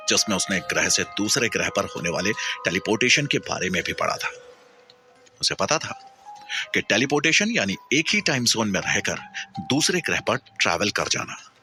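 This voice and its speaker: Bright, forceful male story narrator. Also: fairly low pitch. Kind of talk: dramatic scripted audio-story narration.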